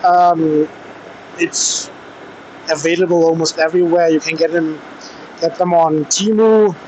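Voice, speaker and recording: A man with a slightly high voice speaks at a slow, halting pace in a casual riding vlog. He is recorded on a helmet intercom mic, with faint vehicle noise behind him. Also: fairly even intonation, darkish tone, low-quality recording. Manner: hesitant, halting delivery with pauses. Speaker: motorcycle vlogger testing gear. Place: outdoors, riding a motorcycle in traffic. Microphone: helmet intercom microphone.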